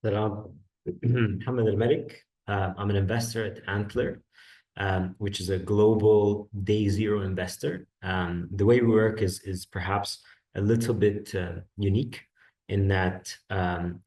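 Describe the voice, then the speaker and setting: A man introducing himself as an investor on a panel, in deliberate, halting phrases. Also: on the low side, darkish tone. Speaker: panelist, early-stage investor. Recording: slightly distant from the microphone.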